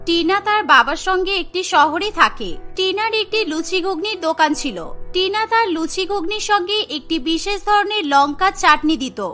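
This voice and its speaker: Very high, bright female cartoon narrator. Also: normal speed, few pauses. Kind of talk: scripted children's cartoon story narration. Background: music.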